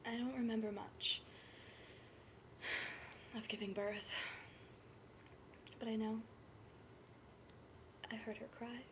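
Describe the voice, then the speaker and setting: Woman acting high-voiced grieving teenage young mother, noisy recording. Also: little pitch movement. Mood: grieving, quiet. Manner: halting, confessional, often breathy and hushed. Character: grieving, guilt-ridden 19-year-old young mother. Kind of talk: dramatic stage monologue. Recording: noisy amateur room recording.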